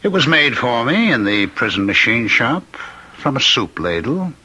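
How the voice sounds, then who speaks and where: A taunting, menacing condemned killer. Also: male voice, medium pitch, very animated, bright, forceful tone, husky, heavily acted, low-quality recording. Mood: sly, savoring. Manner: deliberate, mocking, savoring his words. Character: condemned male killer, gloating, menacing, taunting, claims to be the Ripper. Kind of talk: radio mystery acting.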